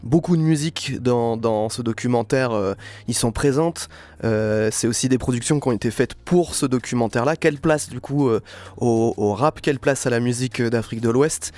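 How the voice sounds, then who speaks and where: A man hosting a radio interview, framing his questions in a halting, unscripted way with repeated words. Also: mid-range voice, a little mellow.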